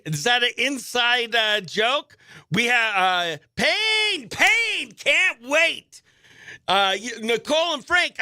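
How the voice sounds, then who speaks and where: A man with a notably high, bright voice hosts a livestreamed radio show. He talks in a breezy, exclamatory way, moving between casual chatter and excitable shout-outs to his audience. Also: clean tone. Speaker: radio host.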